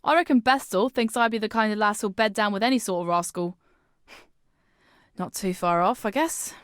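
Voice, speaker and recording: A woman plays a proud, bawdy young pirate seafarer from a video game. She swaggers through salty old-time sailor slang in a medium-pitched voice. The recording is very dry, with no room to it. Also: moderate pace, no room sound, lightly acted. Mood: cheeky. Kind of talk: video game character dialogue.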